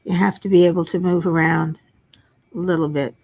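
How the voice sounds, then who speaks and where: An older woman hosting a casual livestream from home, chatting and answering viewers, her voice at times a little low and raspy from a frog in her throat. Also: steady tone, fairly quick, darkish tone. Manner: chatty, self-deprecating, reads chat names aloud. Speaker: livestream host. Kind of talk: casual chat reading viewer comments. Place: home workspace.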